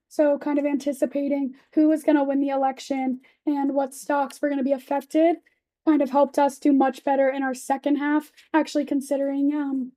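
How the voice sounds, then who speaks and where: A woman's voice: high-pitched, warm tone. A young woman teaching assistant who is losing her voice gives tips to a class in a casual, informal way, using "kind of" and "you know.". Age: young adult. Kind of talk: classroom presentation. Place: lecture classroom.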